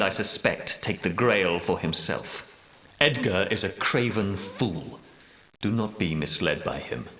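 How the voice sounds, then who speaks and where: An actor playing a solemn, commanding medieval knight and prophetic leader, speaking in grave, formal, archaic oratory for a video game. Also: male voice, sits low, sharp tone. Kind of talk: theatrical video game character dialogue. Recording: roomy echo, slightly distant from mic.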